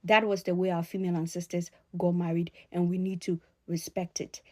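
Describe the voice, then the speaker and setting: A woman speaking straight to her audience in an opinion vlog, emphatic and admonishing, repeating key phrases deliberately. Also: on the low side, little pitch movement. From Ghana. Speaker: sociologist addressing followers.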